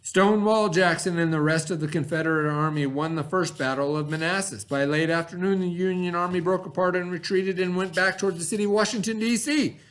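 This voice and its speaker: A man reading aloud like a teacher to pupils, pausing to explain words and put questions to his listeners. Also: high-pitched, fairly bright tone. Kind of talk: educational read-aloud with asides and questions.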